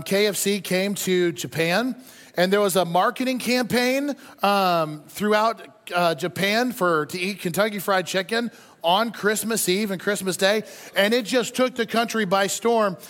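A man with a rather high voice gives a conversational sermon as a pastor. He speaks informally and repeats short phrases to drive them home. His voice rings with the long reverb of a big hall. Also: fairly bright tone. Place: large indoor church hall.

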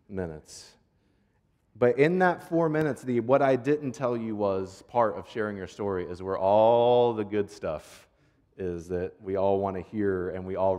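A man's voice: fairly low pitch, darkish tone. A man preaching as a pastor in an informal, earnest, conversational way, repeating phrases for rhythm as he tells stories. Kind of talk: conversational sermon with prayer and storytelling. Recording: long hall reverb.

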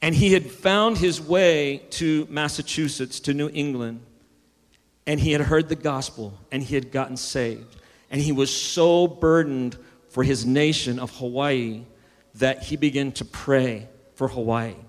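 A man's voice: mid-range voice, a little slow, in a large hall. A man preaching a sermon, building emphasis and sometimes restarting his words. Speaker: pastor.

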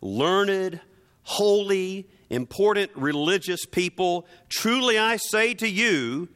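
A man's voice: high voice, expressive, fairly bright tone, clear, resonant tone. A man preaching a dramatic sermon with emphatic, theatrical, rhetorical delivery and sardonic asides. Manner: emphatic, theatrical delivery with deliberate repetition for effect.

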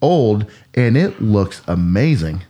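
A man's voice: lowish voice, very animated, takes it slowly, dark tone. A man who is a pastor, hosting a casual podcast in a playful, rambling way and laughing often.

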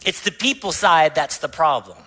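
A man's voice: fairly high pitch, moderate pace, pushed and bright. A man, a pastor, giving a sermon in a church. He tells a family story, then rises to emphatic preaching. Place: church sanctuary.

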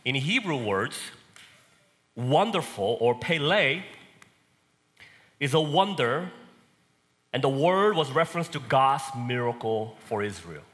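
Man, Korean accent, preacher, animated pitch, loud then soft emphasis, long hall reverb. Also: takes it slowly, long pauses. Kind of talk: sermon.

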